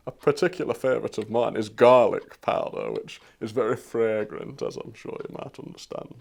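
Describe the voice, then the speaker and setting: A man playing an eccentric, pushy model train businessman with a gravelly voice in a mock corporate documentary. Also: fairly low pitch, moderate pace, darkish tone, soft background music. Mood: proud.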